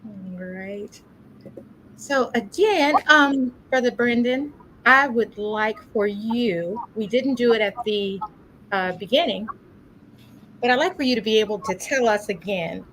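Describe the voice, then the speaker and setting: A woman's voice: on the high side, unhurried, poor audio. A woman hosting a community religious program, speaking informally in an encouraging, courteous way. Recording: steady background noise.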